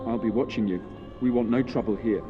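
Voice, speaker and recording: A man's voice: on the low side, a little fast, lo-fi sound, natural voice. Man as a wary, challenging villager, music behind. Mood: suspicious. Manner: challenging, distrustful. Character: suspicious, wary village guard. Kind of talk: fantasy video game acting.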